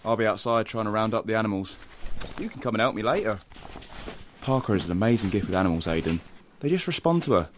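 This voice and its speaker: An actor playing a blunt, bossy rebel in an audio drama, with a brisk, plain-spoken manner. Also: male voice, fairly low pitch, animated, a little hiss or hum, natural voice. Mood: gruffly teasing. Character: blunt, bossy outdoor rebel planning a strike.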